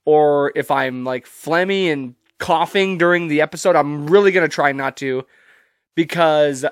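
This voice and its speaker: A man's voice: a little high, measured pace, clean tone, dry recording, studio quality. A young man hosting a solo podcast, stuffy and congested from allergies, talking casually and rambling with loose, profane humor. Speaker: podcast host.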